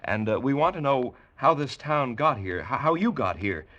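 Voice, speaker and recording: An American actor playing a skeptical, excitable space explorer in 1940s radio drama, questioning everything in a halting, incredulous way. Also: male voice, medium pitch, slight background noise, lightly acted. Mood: flustered, polite. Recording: muffled old radio broadcast, music behind.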